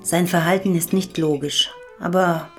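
A woman's voice: lowish voice, fairly even intonation, normal speed, fairly bright tone, soft background music, close to an everyday voice. A woman acting in a German radio drama as a sceptical, analytical investigator.

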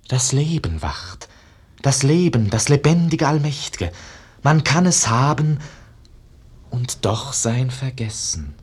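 An actor playing a brooding painter's pupil in a 1950s German radio verse drama, declaiming with solemn, poetic intensity. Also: male voice, lowish voice, slightly rough, full character voice. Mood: feverish rapture. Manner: solemn poetic declamation, swelling from hush to rapture. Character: brooding, world-weary male Renaissance painter's pupil.

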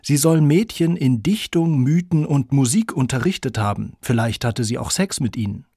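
A male reporter narrates a scripted documentary. His voice sits at middle pitch with a slight huskiness, and he delivers each phrase articulately in a close, dry studio sound. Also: moderate pace, dark tone, husky, no room sound.

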